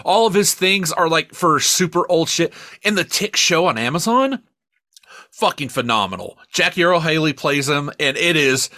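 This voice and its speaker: A man co-hosting a podcast, chatting casually and profanely about films in an emphatic, rambling, excitable way. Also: fairly high pitch, moderate pace, dry recording, clean studio sound. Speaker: podcast co-host. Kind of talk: casual, profane film review chat.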